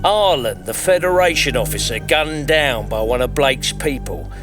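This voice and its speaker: A woman's voice: very deep voice, lively intonation, normal speed. A woman acting an insinuating character in a science fiction audio drama. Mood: dramatic. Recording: loud music behind the voice.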